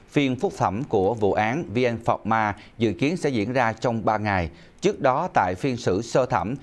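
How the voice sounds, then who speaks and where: A middle-aged man reading scripted news formally as an anchor in a TV studio. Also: fairly low pitch.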